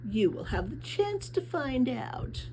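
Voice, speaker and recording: A woman's voice: sits high, sing-song, takes it slowly, darkish tone, a little hiss or hum, pitched above the performer's own voice, heavily acted. Woman acting a pompous, menacing male mayor. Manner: pompous, ponderous, scolding. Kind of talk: children's novel read aloud.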